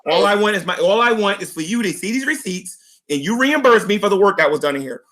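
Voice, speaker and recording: A hoarse man in a heated livestream argument, insistently repeating the other person's name as he pleads and accuses. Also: sits high, expressive, fast talker, a bit bright. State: hoarse, losing his voice. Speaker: YouTuber.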